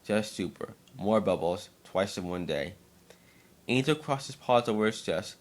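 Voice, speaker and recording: A man's voice: on the low side, expressive, measured pace. A man narrating a scripted story as an amateur dramatic reading, sometimes stumbling over and repeating words.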